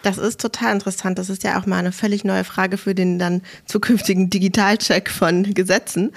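A woman from Berlin hosting a legal podcast, engaged and enthusiastic as she wraps up the interview. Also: mid-range voice. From Berlin, Germany. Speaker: podcast host.

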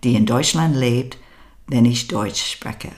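An older American woman with an American accent, a native English speaker, hosting a podcast in a measured, scripted monologue. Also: rumbling low, a little mellow. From United States. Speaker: podcast host.

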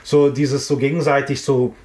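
A man's voice: mid-range voice, level tone, moderate pace, darkish tone. Middle-aged guy from frankfurt rambling about the old days, lots of ähms, echoey room. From Frankfurt area, Germany. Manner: rambling, many fillers and restarts. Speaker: interviewee, hip-hop artist. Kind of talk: casual reminiscing interview. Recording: roomy echo.

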